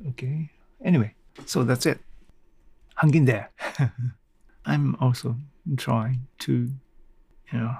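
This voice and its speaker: Male solo podcaster, gravelly and dry-sounding, hesitant off-the-cuff rambling. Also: medium pitch, darkish tone, no room sound. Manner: hesitant, rambling, halting with small self-deprecating chuckles. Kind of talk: casual unscripted monologue.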